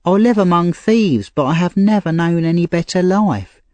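Man doing a frightened young woman thief, high-pitched, urgent, pleading, dry close sound. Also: animated, normal speed, darkish tone, dry recording. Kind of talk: audiobook character reading.

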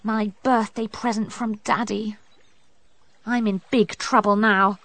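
A woman's voice: mid-range voice, fairly even intonation, slow pace, a bit bright. A woman voicing a petulant, dramatic young princess with a whiny, indignant manner in a storybook. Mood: dismayed.

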